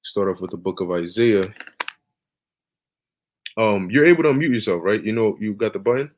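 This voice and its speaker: A man's voice: fairly low pitch, animated, moderate pace, slight background noise. Guy preaching on a video call, keeps saying all right and okay, pushy emphatic delivery. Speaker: teacher leading a scripture class. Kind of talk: online scripture lesson.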